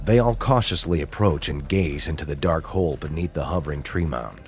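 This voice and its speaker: A man's voice: rumbling low, lively intonation, brisk, slight background noise. A man reading scripted dramatic narration as the narrator of an audio drama.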